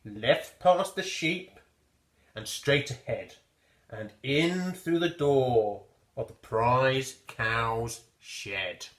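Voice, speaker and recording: A man's voice: mid-range voice, very slow, reverberant. A man voicing a cunning, scheming thief in a dramatic children's storybook reading. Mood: cunning, plotting. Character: scheming male thief plotting to steal a cow.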